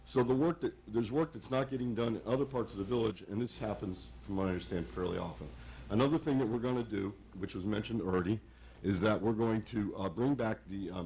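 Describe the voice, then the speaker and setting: A hoarse man speaking haltingly at a village commission meeting, often repeating words. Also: lowish voice, darkish tone, low-quality recording. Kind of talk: public meeting remarks.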